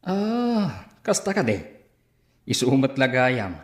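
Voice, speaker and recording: A man playing a nosy, excitable gossip in an Ilocano radio comedy-drama, with an Ilocano accent. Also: medium pitch, slow. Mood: surprised, nosy. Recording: roomy studio echo.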